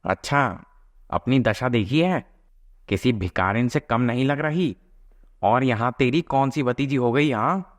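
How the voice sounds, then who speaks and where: A man's voice: mid-range voice, unhurried, lightly acted. A man playing a contemptuous household servant in a moral-story cartoon, sneering and dismissive. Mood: scornful. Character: contemptuous male servant guarding a rich household's door.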